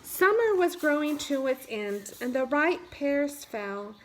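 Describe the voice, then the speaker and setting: A woman with a high-pitched voice reads a children's storybook aloud. She keeps her phrasing measured and simple, suited to young listeners. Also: animated, a little hiss or hum, rough recording. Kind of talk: picture-book read-aloud narration.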